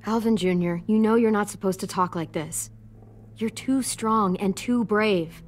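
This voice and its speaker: A woman playing a weary, protective teenage girl survivor in a video game, speaking in a gentle, steady, parental way. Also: medium pitch, measured pace, soft background music, natural voice. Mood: firm, reassuring. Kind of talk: naturalistic video game acting.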